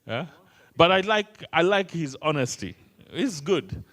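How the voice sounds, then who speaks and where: A man's voice: fairly high pitch, sing-song, unhurried, fairly bright tone. A man from Kenya whose first language is Swahili, running an interactive training session and teasing the room with many questions. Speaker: workshop trainer.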